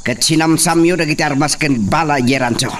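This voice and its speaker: An actor in a radio drama playing a menacing, domineering young landowner making demands. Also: male voice, a little high, a little fast, natural voice. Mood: threatening. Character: threatening, domineering young landowner master interrogating underlings. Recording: crowd-like sound with cricket chirping behind.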